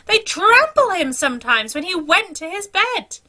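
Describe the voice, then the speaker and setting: A woman's voice: very high-pitched, very animated, moderate pace, bright, forceful tone. A woman reading a children's story aloud in a dramatic, theatrical storytelling manner.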